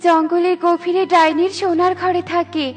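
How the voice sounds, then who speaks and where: A woman voicing a gentle fairy in a children's cartoon, reassuring and explaining. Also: very high-pitched, flat delivery, normal speed, soft background music. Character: gentle, grateful female fairy trapped by a witch.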